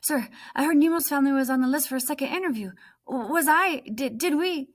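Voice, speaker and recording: A woman's voice: sits high, a little fast, voice pushed up, natural voice. A woman voicing a bitter, frustrated refugee boy from a storybook, with an insistent, impatient manner. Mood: anxious, hopeful.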